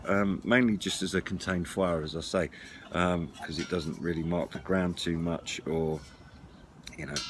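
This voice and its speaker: A man's voice: low-pitched, background noise. A middle-aged British man giving an informal, hesitant gear demonstration to camera, sitting outdoors. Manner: hesitant, halting, explanatory. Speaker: outdoor gear and CB radio vlogger. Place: outdoors at a wooden patio table.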